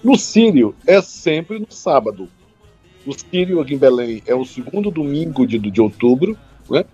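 A man chatting as an interview guest, husky and halting, often repeating words and adding tag questions. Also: mid-range voice, sing-song, dark tone. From Bragança, Pará, northern Brazil. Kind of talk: casual interview answers. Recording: dull lo-fi line, music behind.

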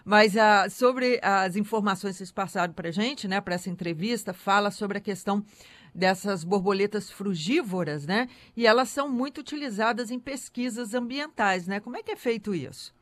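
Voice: mid-range voice, normal speed, fairly bright tone, dry recording. An interviewer from the Zona da Mata in Minas Gerais, talking in a chatty, folksy way that often trails off and restarts mid-phrase. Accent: Minas Gerais Portuguese. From São João Nepomuceno, Minas Gerais, Brazil. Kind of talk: conversational interview.

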